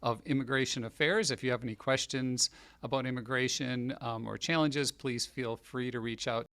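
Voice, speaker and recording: A man's voice: medium pitch, steady tone, normal speed, a bit bright. A man hosting a podcast interview, speaking in a friendly, appreciative, easygoing way. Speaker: podcast host, county executive. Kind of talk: casual interview.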